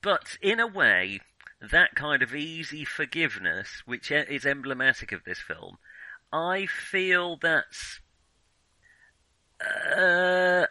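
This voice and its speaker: A man's voice: on the high side, sing-song, takes it slowly, bright, forceful tone, no room sound, low-quality recording. A man talking casually about film as a podcast host, hesitant and often rephrasing his thoughts as he goes.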